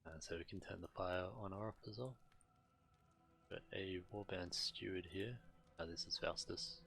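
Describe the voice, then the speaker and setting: A man giving casual, matter-of-fact and slightly halting gameplay commentary as a streamer, reading on-screen text aloud. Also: deep voice, steady tone, vocal fry, fairly bright tone, slight background noise. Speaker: game streamer.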